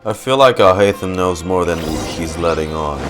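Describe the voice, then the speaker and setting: A man's voice: deep voice, expressive, moderate pace, loud music behind the voice. A man giving casual, slangy game reaction commentary, thinking aloud as he plays. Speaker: gamer streamer reacting to a story quest.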